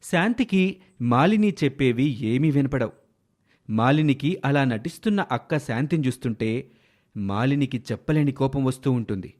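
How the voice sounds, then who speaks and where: Expressive male storyteller, lively intonation. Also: mid-range voice, normal speed. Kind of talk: scripted moral story narration.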